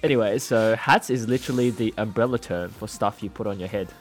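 A man co-hosting a podcast, chatting casually and explaining things in a relaxed way with lots of likes and yeahs. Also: fairly low pitch, fast, soft background music. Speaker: podcast co-host, English speaker. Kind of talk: casual conversational chat. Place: studio in Sydney.

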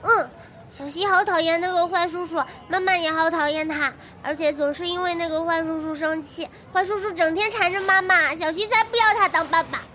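A woman's voice: extremely high pitch, fairly even intonation, fairly quick, pushed and bright, a little hiss or hum. A woman playing a sweet, clingy little girl in an audio drama, with a childlike voice and pleading, simple phrasing. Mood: sulky. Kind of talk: Chinese audio drama acting.

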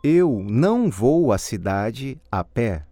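A man reading model answers in a language course drill, slow and clearly enunciated. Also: mid-range voice, sing-song, dark tone.

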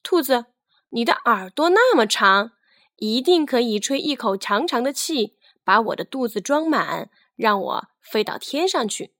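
Woman voicing an eager, high-pitched little girl balloon. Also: a little slow, no room sound, clean studio sound, exaggerated voice. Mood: eager, pleading. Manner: excited, sing-song chanting and pleading. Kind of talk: children's storybook character voice.

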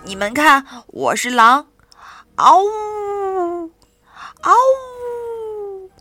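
A tiny boy bear cub from a bedtime storybook, all squeals and wolf howls. His voice sits very high, with a bright, sharp edge, and it swoops up and down as he plays, while a woman puts him on. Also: lively intonation, unhurried, soft background music, exaggerated voice. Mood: playful.

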